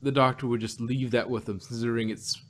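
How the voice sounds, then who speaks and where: A man's voice: mid-range voice, level tone, fast. Rambling man in casual chat. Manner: rambling, many restarts and fillers, laughs often. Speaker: podcast co-host.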